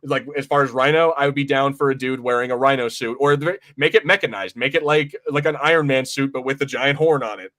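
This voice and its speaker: A man's voice: medium pitch, quick pace, hardly any pauses, fairly bright tone. A man co-hosting a podcast, chatting casually about movies and often rephrasing himself mid-sentence. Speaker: podcast co-host. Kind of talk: casual movie review chat.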